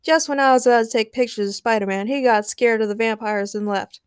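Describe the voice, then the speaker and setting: A woman voicing an eager, excitable male photographer in a storybook, with a gushing, enthusiastic manner. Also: fairly high pitch, fairly quick, clean tone, no echo, studio quality, natural voice. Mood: disappointed.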